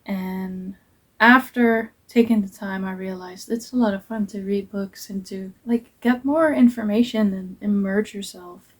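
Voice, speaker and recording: A woman's voice: medium pitch, fairly even intonation, a little slow. A young woman whose first language is Dutch, talking through her book picks in an earnest, hesitant way with many ums. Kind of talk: casual book review vlog.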